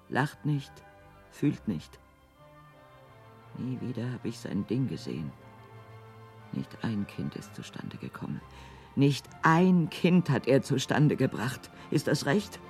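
A bitter, neglected wife in a radio drama monologue. She goes slowly, in short dramatic phrases that rise and fall widely. Soft music sits underneath. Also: very deep voice, creaky, heavily acted. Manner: clipped, repetitive, dramatic phrasing.

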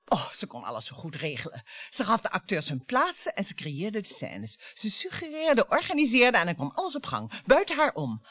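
An actress in a radio play playing a bitter, provocative wife who dwells on words theatrically. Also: female voice, mid-range voice, wildly swooping pitch, a little fast, fairly bright tone, no echo. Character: bitter, taunting wife dredging up old family grievances.

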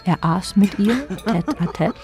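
A woman's voice: lowish voice, measured pace, over music, natural voice. A woman narrating a literary radio play as a composed storyteller.

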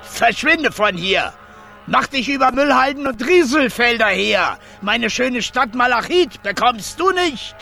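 An actor playing a small, defiant but self-doubting town mayor in a declamatory German radio play style. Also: male voice, very high-pitched, animated, unhurried, gravelly, sharp tone, faint music behind, full character voice. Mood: defiant, indignant. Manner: grandiloquent, declamatory.

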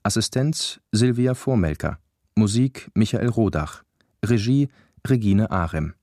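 A man reading scripted narration and credits for a radio drama in a sober, measured way. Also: on the low side, expressive, dark tone, no echo, clean studio sound. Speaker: announcer.

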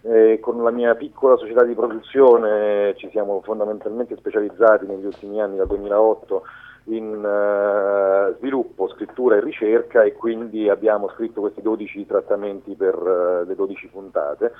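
A man answering interview questions spontaneously and haltingly as a radio guest. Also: lowish voice, little pitch movement. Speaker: film director as radio guest. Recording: dull lo-fi radio call.

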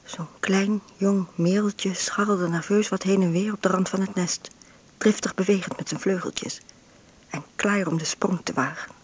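An actress playing a frail, ailing bedridden mother in an intimate radio drama monologue, breathy and often near a whisper, with halting short phrases. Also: on the low side. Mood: tense, absorbed. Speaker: actress narrating in a radio play.

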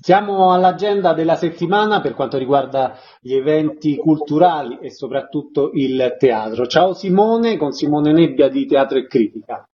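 A man introduces a segment as a radio host. His voice is fairly high. The sound is a bit dull and lo-fi. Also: lively intonation, normal speed, few pauses.

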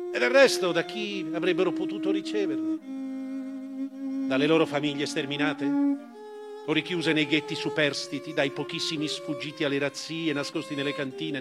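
Higher-voiced man reading dramatically over violin music. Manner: declamatory, with pauses and strong swings of emphasis. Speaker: actor reading literary prose on stage. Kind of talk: dramatic theatrical reading of a first-person memoir. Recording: live string music under the voice.

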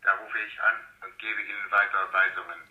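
An actor playing a cold, menacing kidnapper giving curt commands over a telephone loudspeaker in a German radio crime drama. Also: male voice, on the low side, fairly even intonation, brisk. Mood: cold, threatening. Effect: telephone through loudspeaker. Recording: harsh echoey phone speaker, muffled.

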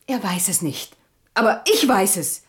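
A woman's voice: medium pitch, lively intonation, measured pace, lightly acted. An actress playing a proud, capricious young woman in a radio play, dramatic and cutting toward her lover. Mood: defiant, scornful.

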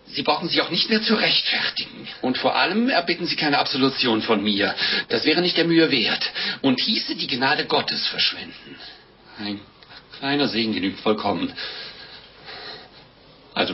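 A man's voice: mid-range voice, expressive, normal speed, natural voice. Man playing flustered naive priest, hesitant and horrified, old muffled radio play with a bit of hiss. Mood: shaky, pious. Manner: hesitant, stammering, exclaiming. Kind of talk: 1950s German radio drama acting. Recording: dull, noisy old radio recording.

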